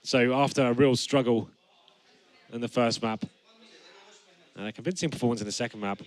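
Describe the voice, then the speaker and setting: A young man casting an esports match from a studio on a headset mic, his voice still raspy from a flu. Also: medium pitch, moderate pace. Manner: rises to excited calls on big plays. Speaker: esports caster. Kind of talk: play-by-play commentary. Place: studio set with gaming stations.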